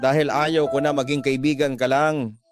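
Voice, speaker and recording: A man's voice: medium pitch, flat delivery, moderate pace, over music, lightly acted. A Filipino man playing an intense, jealous, lovestruck young suitor in a radio love drama. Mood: pleading, intense.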